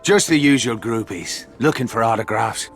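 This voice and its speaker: An actor playing a gruff, sardonic Irish racing mechanic with an Irish accent and slangy, rough-edged speech in a video game. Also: male voice, medium pitch, normal speed, soft background music, lightly acted. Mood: cheeky. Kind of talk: dramatic video game acting.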